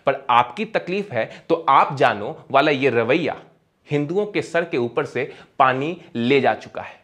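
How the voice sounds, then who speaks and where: A man's voice: mid-range voice, fairly bright tone. A man delivering scripted opinion narration as a commentator, in an emphatic, rhetorical manner.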